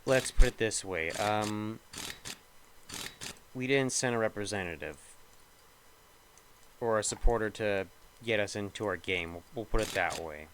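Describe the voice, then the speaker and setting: A man's voice: fairly low pitch, unhurried, pauses often, background noise. A young man with a slightly nasal voice, rambling casually and thinking aloud as he talks over gameplay. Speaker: gaming video creator.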